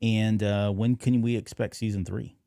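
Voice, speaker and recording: A man's voice: on the low side, level tone, normal speed, gravelly, dark tone, no echo. Agreeable male podcast host, dry sound. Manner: agreeable, frequent affirming interjections. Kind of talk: casual interview chat.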